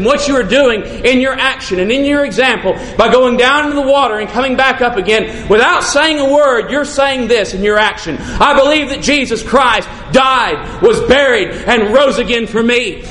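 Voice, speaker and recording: A man preaching a sermon in a fervent manner, building to shouted refrains and easing into stories. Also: slight background noise. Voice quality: says his voice is deep, sings bass. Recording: echoey room with faint ambience.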